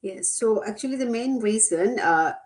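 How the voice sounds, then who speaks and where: Woman guest from Sri Lanka, 30s, mid-pitched, halting answers full of fillers. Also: animated. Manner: hesitant, many "like" and "uh" fillers. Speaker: guest, teacher aide. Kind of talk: interview answers.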